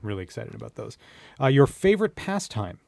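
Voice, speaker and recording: A man hosting a podcast, putting casual quick-fire questions to a guest in a playful, teasing way. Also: mid-range voice, big pitch swings, gravelly, mellow, no room sound. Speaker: podcast host.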